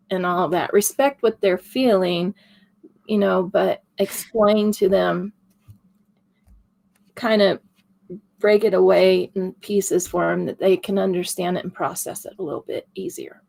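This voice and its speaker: A raspy woman co-hosting a laid-back paranormal talk stream. She speaks slowly with a gravelly, creaky edge and laughs easily between thoughts. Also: fairly low pitch, a little mellow. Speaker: paranormal investigator co-host. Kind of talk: casual livestream chat.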